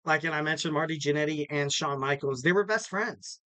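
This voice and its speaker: A man with a bright, forceful, slightly raspy voice, a little higher than most men. He hosts a solo wrestling podcast, talking loosely and upbeat with lots of fillers. The sound is dry and close. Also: fairly quick, no room sound. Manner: rambling, filler-heavy, upbeat promo talk. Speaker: podcast host. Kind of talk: casual solo wrestling preview.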